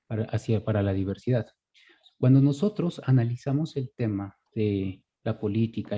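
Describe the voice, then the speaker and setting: A man's voice: on the low side, warm tone, slightly rough. A man giving a formal academic presentation over a muffled video call. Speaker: conference presenter. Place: online video conference.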